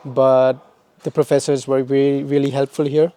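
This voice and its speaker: Halting young man from Pakistan, flat and mellow. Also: mid-range voice, clean tone. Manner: halting, many restarts and repeated words. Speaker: interviewee, former master's student. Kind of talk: casual interview answers.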